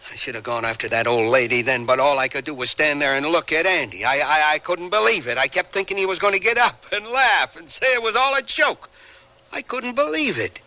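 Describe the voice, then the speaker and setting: An actor in a radio drama playing a bitter, haunted, volatile working-class Vietnam veteran with rough colloquial, defensive speech. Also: male voice, a little high, lively intonation, fairly quick, pushed and bright. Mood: haunted, anguished.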